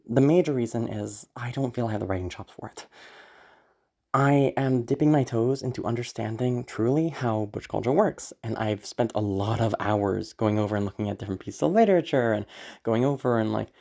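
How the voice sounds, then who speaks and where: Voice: mid-range voice, fairly quick, creaky, mellow. A content creator with a congested voice, rambling casually to viewers in an anxious, apologetic, giggly way, with some swearing. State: congested from being sick. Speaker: audio roleplay creator.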